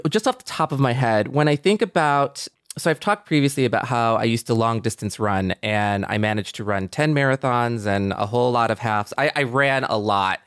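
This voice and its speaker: Male podcast co-host, candid and conversational, medium-pitched, recorded dry without room sound. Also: normal speed, few pauses, a bit bright, smooth, no room sound. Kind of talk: casual reflective chat.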